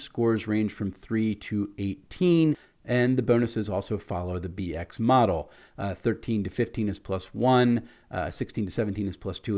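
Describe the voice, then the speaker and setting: A man with a scratchy, hoarse voice, casually reviewing a tabletop game book. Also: on the low side, a little mellow. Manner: conversational, mildly critical.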